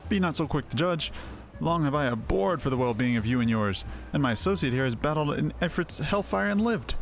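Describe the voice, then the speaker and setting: A man voicing a solemn, learned adventurer in a fantasy game, speaking in a formal, measured manner. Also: a little high, expressive. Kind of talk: voiced fantasy game dialogue. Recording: music under the voice.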